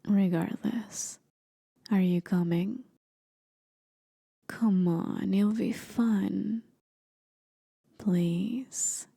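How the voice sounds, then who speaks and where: A woman playing a playful, courteous demon forest guide in an ASMR roleplay, with soft, breathy, often whispered speech and a teasing, gently theatrical manner. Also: medium pitch, steady tone, extremely slow, pauses often, no room sound, studio quality, exaggerated voice. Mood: playful coaxing. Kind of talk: ASMR roleplay, scripted acting.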